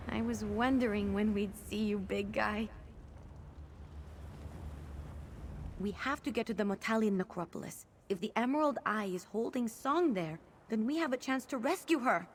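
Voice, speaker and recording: A woman's voice: fairly high pitch, normal speed, lightly acted. An actress voicing a brash, sarcastic young female mage companion in a video game, blunt and wry. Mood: relieved, wry. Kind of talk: video game character dialogue. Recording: game ambience noise behind the voice. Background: game ambience.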